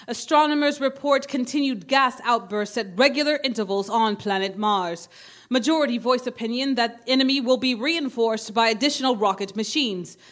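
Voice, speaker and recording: A high-voiced female news announcer in an old-time radio drama. She reads each bulletin formally and in clipped phrases, with earnest urgency. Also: fairly bright tone. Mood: grave, formal.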